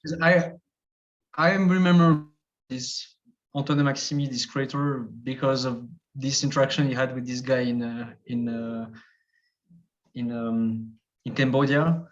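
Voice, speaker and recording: A man's voice: medium pitch, steady tone, a little slow. A man from Switzerland, a travel video creator, talking through his ideas in a casual interview, thoughtful and hesitant, with many fillers and restarts. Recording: dull lo-fi podcast audio.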